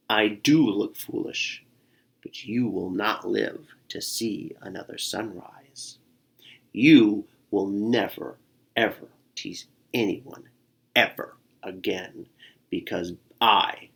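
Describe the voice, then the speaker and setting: A storyteller voicing a big, grumpy male bear who speaks low, slow and menacing. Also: creaky, breathy edge, exaggerated voice.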